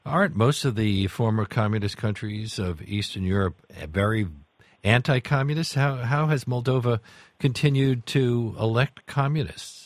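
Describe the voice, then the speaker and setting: A man's voice: mid-range voice, normal speed, dry recording. A man hosting a radio interview, putting questions and follow-up remarks in an inquisitive, conversational way.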